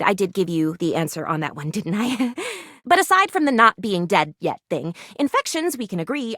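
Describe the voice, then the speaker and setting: Perky, high-pitched, eccentric lady doctor. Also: a bit bright, no echo, studio quality. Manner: gushing, old-fashioned, melodramatic phrasing. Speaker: video game voice actor. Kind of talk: animated video game character acting.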